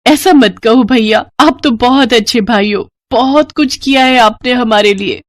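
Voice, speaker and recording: A woman voicing a gentle, dutiful young sister in a moral story, speaking with earnest, sincere emotion. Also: a little high, measured pace, dry recording, studio quality, pitched above the performer's own voice, close to an everyday voice. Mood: consoling. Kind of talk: moral story character acting.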